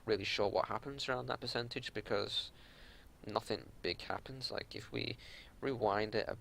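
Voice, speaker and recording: A man with a fairly low, creaky voice talks through a gameplay review off the cuff. He sounds like a raid leader, matter-of-fact and a little exasperated as he explains. There is some background noise with a low rumble. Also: fairly even intonation, moderate pace, fairly bright tone. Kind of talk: unscripted game analysis commentary.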